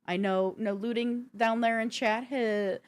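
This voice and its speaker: A woman's voice: fairly high pitch, fairly even intonation, a little slow, smooth. A woman hosting a gaming news show in a casual, chatty live-stream style, speaking informally and directly to viewers.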